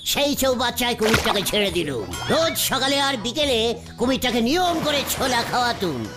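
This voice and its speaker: A woman's voice: a little high, sing-song, normal speed. A woman voicing a boastful cartoon uncle who spins tall tales in a dramatic, bantering way. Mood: dramatic storytelling. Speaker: storyteller character. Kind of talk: animated cartoon storytelling. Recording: music under the voice.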